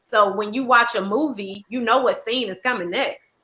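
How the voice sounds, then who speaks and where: A woman's voice: fairly high pitch, moderate pace, pushed and bright, a little hiss or hum, rough recording. A woman panel guest casually sharing practical tips in an informal, colloquial way. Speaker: guest, stage play writer-director.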